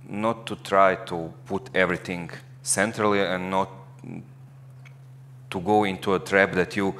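A man's voice: low voice, fairly bright tone, in a large hall, slight background noise. A man speaking earnestly on a conference panel, with slightly non-native phrasing. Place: conference stage before an audience.

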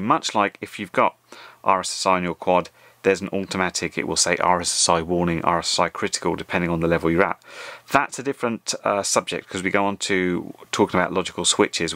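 A man's voice: deep voice, sharp tone, no room sound. A middle-aged man narrating a casual tutorial in an informal, explanatory, conversational way. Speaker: host of an RC radio tutorial.

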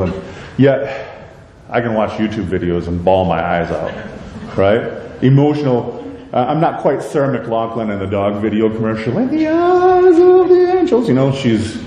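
A deep-voiced middle-aged pastor preaching with warm, mellow tone and a lively, rising-and-falling delivery, full of rhetorical questions and jokes at his own expense. He is recorded from some distance in a reverberant church sanctuary, with a faint low rumble underneath. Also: moderate pace. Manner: conversational, self-deprecating humor, many rhetorical questions. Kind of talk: sermon. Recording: echoey church hall, light rumble.

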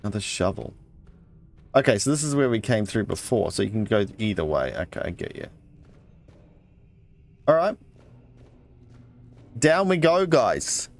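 A man's voice: lowish voice, very animated, measured pace, pauses often, soft background music. A man giving casual let's play commentary, muttering his thoughts aloud and reading out item text.

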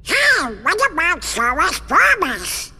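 A grumpy, excitable cartoon duck for a video game. The voice is garbled quacking, very high and piercingly sharp, drawn out slowly. It echoes in a long reverb over music. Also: male voice, heavily acted. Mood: indignant. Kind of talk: animated game cutscene acting.

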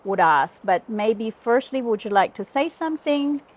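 A woman's voice: a little high, expressive, moderate pace. A middle-aged woman, a university lecturer, introducing and asking semi-prepared questions as an interviewer. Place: outdoors in a leafy garden. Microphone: clip-on lapel mic.

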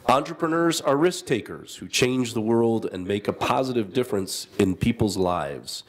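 A man moderating a business panel, reading out speaker introductions in a measured, formal way with small asides. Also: medium pitch, expressive.

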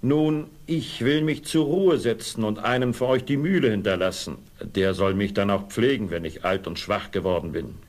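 An actor playing a kindly, fair-minded ageing master miller in a fairy tale audio play. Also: male voice, on the low side, moderate pace, hardly any pauses, close to an everyday voice. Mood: solemn.

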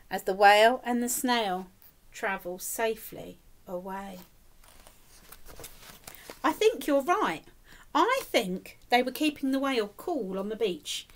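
A woman with a high, very lively voice reads a picture book for small children. She takes her time and exaggerates her expression like a teacher at storytime, encouraging the listeners. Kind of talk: animated children's storytime reading with questions to the listeners. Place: small indoor room, plain wall.

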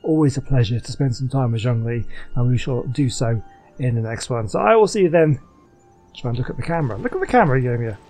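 A man's voice: mid-range voice, lively intonation, fast, darkish tone, faint music behind. A man hosting a gaming video with casual, chatty commentary and dry humour. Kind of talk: casual let's play commentary.

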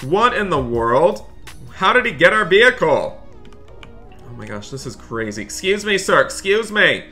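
A man hosting a gaming roleplay as a dad, narrating excitedly in a dramatic, exasperated way and repeating himself. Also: on the high side, moderate pace. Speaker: gaming video host playing a dad in roleplay. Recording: background music behind voice. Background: music.

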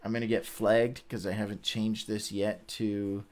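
Low-voiced man, halting casual host. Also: flat delivery. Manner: halting, informal, self-correcting. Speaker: host of a Bible read-along. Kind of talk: casual livestream chat. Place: small indoor room, seated before a webcam.

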